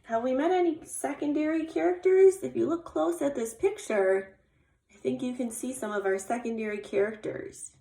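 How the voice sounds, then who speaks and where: A woman's voice: high voice, moderate pace, gravelly, mellow. A woman teacher reading a children's story aloud expressively and explaining it simply with questions. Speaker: kindergarten teacher. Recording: echoey room.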